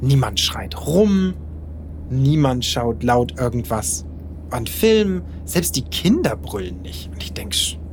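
A man in his thirties from Lower Saxony, telling his own story in a candid, self-deprecating way. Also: very animated, takes it slowly, slight background noise. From Lower Saxony, Germany. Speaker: podcast narrator. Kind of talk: personal storytelling.

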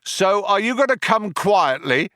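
Space cop for comic sci-fi storybook, performed by a male narrator: high, forceful shouting, aggrieved, earnest, self-justifying menace. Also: big pitch swings, measured pace, clear, resonant tone, no echo, clean studio sound, heavily acted. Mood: shouted threats. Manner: earnest, self-justifying threats bellowed at a distance.

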